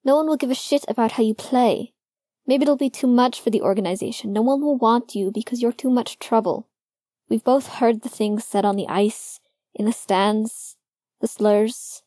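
A woman's voice: on the high side, takes it slowly, hardly any pauses, a little mellow, voice pushed up. A woman voicing a young male hockey player who is hurt and guarded, pushing back in a choked, tearful voice. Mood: bitter.

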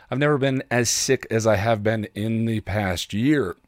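A man with a cold talking casually and haltingly, with self-deprecating asides, as a solo podcast host. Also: fairly low pitch, dry recording, clean studio sound. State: congested with a cold.

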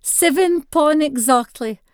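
Woman putting on an excitable Scottish granny. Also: sits high, takes it slowly, no room sound, studio quality, pitched above the performer's own voice. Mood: excited shouting. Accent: North-East Scottish (Doric). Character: excited grandmother announcing a baby's birth.

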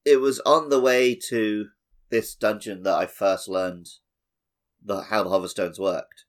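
Low-pitched man chatting casually. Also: steady tone, measured pace. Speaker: podcast co-host. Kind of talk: casual chat about gaming.

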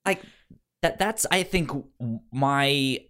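A man co-hosting a casual book discussion, halting and often stammering over repeated syllables and words. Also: mid-range voice, animated, fairly bright tone. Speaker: podcast co-host discussing comics.

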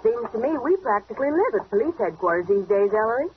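A pert, wisecracking detective's assistant in a vintage radio mystery. She is animated and chatty, on a muffled recording with faint hiss. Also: on the high side, quick pace. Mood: teasing. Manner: sassy, chatty. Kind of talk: 1940s radio acting. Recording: dull hissy old radio recording.

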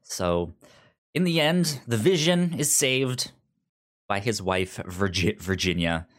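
A man's voice: lowish voice, expressive, slow pace. A man co-hosting a podcast in casual chat, often stammering and repeating sounds as he explains. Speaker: podcast co-host. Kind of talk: casual chat reviewing a comic book.

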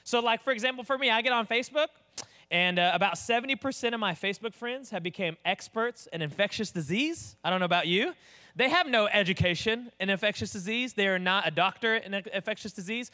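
A young man, an associate pastor with a booming voice, telling stories in a casual, animated sermon. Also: fast, clear, resonant tone. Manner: animated storyteller, acts out voices.

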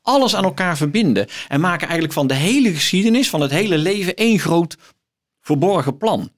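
A middle-aged man explaining books in conversation as an interview guest, thoughtfully and haltingly, repeating words as he searches for phrasing. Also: high voice, expressive. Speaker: guest, professor.

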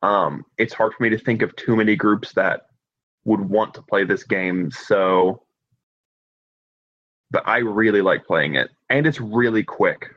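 A man with a fairly low voice shares opinions in a casual review chat. His microphone is dull and lo-fi. The sound is dry, with hardly any room around him. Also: a little slow, a bit bright, no room sound. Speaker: podcast co-host and reviewer. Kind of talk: casual opinionated board game discussion.

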